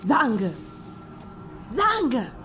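A woman voicing a harsh, impatient witch queen who shouts sharp commands. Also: fairly high pitch, big pitch swings, extremely slow, soft background music, exaggerated voice. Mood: commanding.

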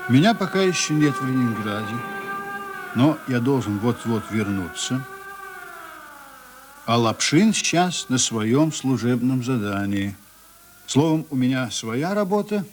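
An actor playing a journalist narrator in a radio play, reminiscing in a conversational, musing way with deliberate pauses. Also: male voice, medium pitch, very animated, noisy background. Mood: reflective. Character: reminiscing male journalist narrator, unhurried and intimate.

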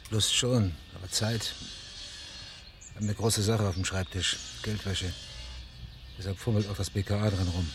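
A man's voice: fairly low pitch, fairly even intonation, normal speed, long pauses, background noise, close to an everyday voice. A man playing a weary, curt police detective from the countryside in a radio drama, dry and sardonic. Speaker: lead character and narrator. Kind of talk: radio drama acting and narration.

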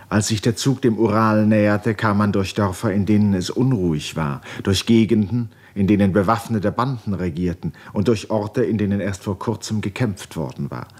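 An actor narrating an epic novel in a 1950s radio drama, grave, measured and solemn. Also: male voice, lowish voice, a little mellow. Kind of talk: literary narration in a 1950s German radio play.

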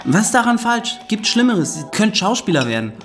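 Lively-pitched young man, stubborn scornful son, German radio drama, music behind. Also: a little fast. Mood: defiant. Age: young adult. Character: defiant young aspiring art-student son.

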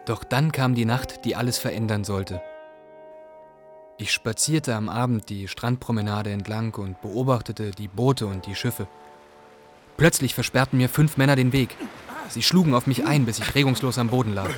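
A man's voice: mid-range voice, normal speed, soft background music, lightly acted. A man acting as a young Iraqi refugee, earnestly narrating his story in a radio play. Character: young male Iraqi refugee telling his hard odyssey.

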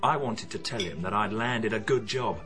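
Guy doing a sulky cocky young british bloke, lowish voice, radio play, bit of music behind. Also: normal speed, close to an everyday voice. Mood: sulky, evasive. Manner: offhand, sulky, boastful. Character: surly, cocky young British man, evasive. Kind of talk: radio drama acting.

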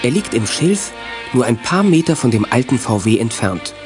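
A man's voice: medium pitch, big pitch swings, moderate pace, over music, natural voice. A man delivering scripted narration for a children's radio adventure play.

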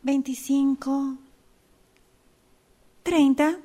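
A woman's voice: high-pitched, steady tone, drawn-out words, dark tone. A woman playing an insistent figure who speaks in terse single words in a radio drama exercise.

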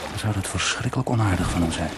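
A man's voice: sits low, fast. An actor playing a stubborn swimmer alone at sea in a radio play, philosophising and mocking himself as he reasons his way onward. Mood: self-persuading, musing. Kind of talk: radio play inner monologue. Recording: very noisy old radio play recording.

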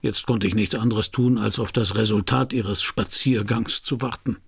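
Gravelly, laconic male private detective. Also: lowish voice, steady tone, normal speed, exaggerated voice. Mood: wry narration. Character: wry, world-weary male private detective. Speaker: lead actor and narrator. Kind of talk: crime radio play, dialogue and first-person narration.

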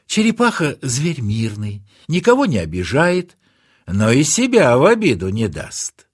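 Husky, highly animated male storyteller. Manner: confiding, theatrical fairy-tale telling. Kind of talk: expressive scripted children's narration.

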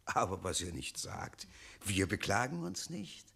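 A resigned, self-satisfied father of the town, speaks in a radio play. His voice is on the low side, gravelly and husky, with a placid, explanatory manner. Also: wildly swooping pitch, a little slow, bright, forceful tone, exaggerated voice. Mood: smugly defensive. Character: resigned, complacent townsman father defending the town's tyrant. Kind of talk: theatrical radio play acting.

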